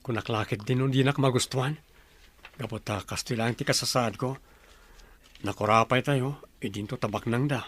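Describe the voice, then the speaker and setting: A man's voice: mid-range voice, normal speed, a bit bright, slightly rough. A man playing a modest, poor young lover in an Ilocano audio drama, earnest and familiar in tone. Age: young adult. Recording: music under the voice. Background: music.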